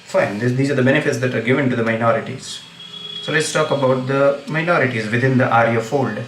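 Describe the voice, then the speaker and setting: A man, a Gujarati speaker, hosting a casual commentary livestream and talking in halting, restart-filled sentences. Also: medium pitch, a little hiss or hum. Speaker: livestream host. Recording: roomy, distant mic, faint ambience.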